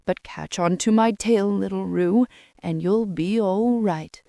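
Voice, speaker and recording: A woman voicing a gloomy old donkey who grumbles in a slow, complaining drawl. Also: medium pitch, gravelly, mellow, dry recording, clean studio sound. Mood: grumbling.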